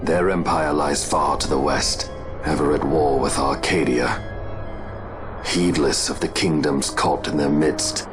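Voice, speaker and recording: A man's voice: rumbling low, fairly even intonation, unhurried. A man voicing a loyal, stoic middle-aged knight in a video game, speaking solemnly and resolutely. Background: game soundtrack music.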